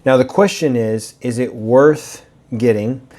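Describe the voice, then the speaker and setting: Man, a bit raspy, chatty pen review. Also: medium pitch, measured pace, a little mellow. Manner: chatty, rambling, with fillers and restarts. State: slightly raspy from allergies. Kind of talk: casual product review.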